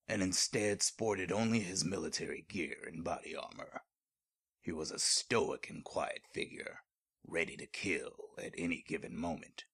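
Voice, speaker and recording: Horror audio drama narrator, played by a man: extremely low, husky, scripted storytelling. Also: fairly bright tone, full character voice. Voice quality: very deep, husky.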